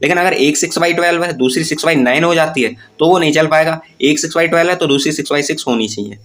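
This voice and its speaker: A man's voice: on the high side, quick pace, a bit bright. A man giving an informal explanatory lesson to viewers, going step by step in plain terms with English words mixed in. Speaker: presenter of exam-preparation tutorials.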